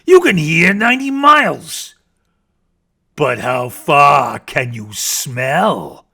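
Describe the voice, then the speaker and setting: Man voicing a slow, boastful growling storybook bear. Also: fairly high pitch, big pitch swings, creaky, sharp tone, heavily acted. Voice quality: growling, snorting. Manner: bragging, emphatic, drawn-out words. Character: boastful, scornful big male bear, storybook animal. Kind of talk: theatrical children's storybook reading.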